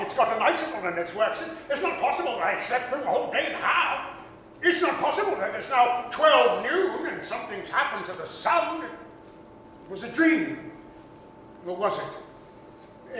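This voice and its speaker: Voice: mid-range voice, lively intonation, normal speed, a bit bright, lightly acted. A performer playing a crotchety, nervous old male miser who stammers and gets flustered, in a theatrical 1930s radio drama style. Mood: befuddled muttering. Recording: very echoey hall livestream with hiss.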